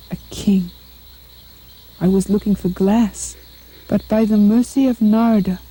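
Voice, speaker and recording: A woman playing a humble, devout boy prince in a devotional puppet show, speaking slowly and earnestly in a soft, breathy voice. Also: medium pitch, poor audio. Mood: remorseful, humble. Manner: slow, solemn, earnest recitation.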